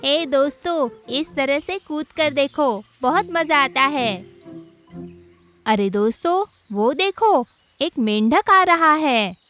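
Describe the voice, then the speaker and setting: A woman's voice: high voice, expressive, slow, a bit bright, soft background music, exaggerated voice. A woman voicing a vain, contemptuous female fish in a children's cartoon story. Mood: carefree. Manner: contemptuous, haughty. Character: vain, mocking female fish, proud of her beauty. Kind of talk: Hindi children's animated story acting.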